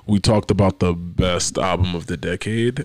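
A man's voice: low voice, steady tone, normal speed. A man co-hosting a podcast in casual chat, laid-back and rambling, getting sidetracked. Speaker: podcast co-host.

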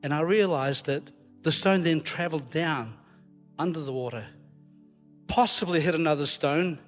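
Male preacher, Scottish accent, slightly high voice, jokey self-deprecating storyteller, live in a big echoing hall with faint music. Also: moderate pace. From Scotland. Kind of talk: informal sermon to a congregation. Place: large hall, live before a congregation. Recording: long hall reverb, live church recording.

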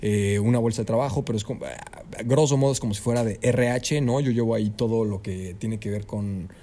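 Mellow-voiced young Mexican man telling stories. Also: lowish voice, a little fast, a little hiss or hum. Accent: Mexican Spanish. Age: young adult. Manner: animated storyteller, leans on "¿sabes?". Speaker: student guest. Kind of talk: casual podcast interview.

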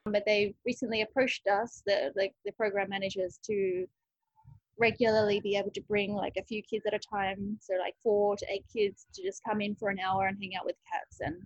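A woman's voice: mid-range voice, lively intonation, moderate pace, gravelly, no room sound, muffled recording. A woman who runs a rescue cat cafe, answering interview questions in a chatty way, thinking aloud with many restarts.

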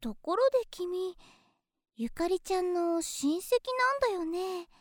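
Very high-pitched, flirty nurse. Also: female voice, darkish tone, no echo, clean studio sound. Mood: friendly, attentive. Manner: cutesy, teasing, coaxing, unhurried. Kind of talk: Japanese situation voice drama, close one-on-one address.

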